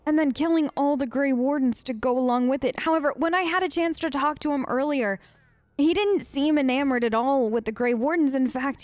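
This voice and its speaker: Gamer girl doing improv rp lines, kinda high voice, hesitant and chatty, super dry mic. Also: a little mellow, dry recording. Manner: hesitant, halting, conversational. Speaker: gaming let's player. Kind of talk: improvised in-character role-play of game dialogue.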